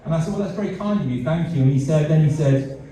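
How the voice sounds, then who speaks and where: A man's voice: a little high, warm tone. A middle-aged British man giving a fundraiser speech. He repeats words and pokes fun at himself. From Britain. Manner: halting, repeats words, self-deprecating. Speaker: charity CEO giving a speech. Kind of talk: fundraiser speech with light humour. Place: large event hall. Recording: echoey hall, mic heard from a distance.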